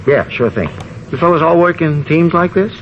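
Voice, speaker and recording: An actor playing a fussy, nosy, indignant landlord in an old-time radio detective drama, rambling on with folksy grammar. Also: male voice, fairly high pitch, lively intonation, normal speed, close to an everyday voice. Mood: eager, nosy. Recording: dull, noisy old radio recording.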